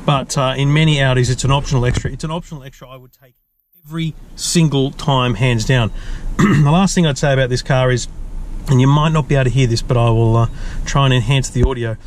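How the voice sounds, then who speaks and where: An Australian man casually showing off a car's digital dashboard, relaxed and chatty with frequent ums and restarts, recorded inside a parked car. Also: medium pitch, brisk, slight background noise. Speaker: tech reviewer. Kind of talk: casual car feature walkthrough.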